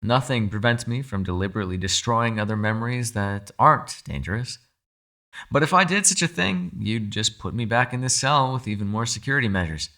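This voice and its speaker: A man playing a captive mind-controlling being in an ASMR roleplay, calm, shrewd and persuasive, speaking in a measured, formal, quietly condescending way. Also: medium pitch. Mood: calm bargaining. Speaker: voice actor. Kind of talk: ASMR roleplay, dramatic monologue.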